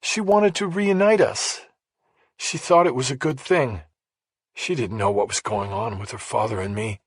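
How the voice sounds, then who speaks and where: A man voicing a grieving, guilt-ridden middle-aged mother in an audiobook, sorrowful and halting. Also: mid-range voice, slightly rough, dry recording, studio quality, voice pushed up. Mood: pained. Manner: sorrowful, halting, bitterly resigned.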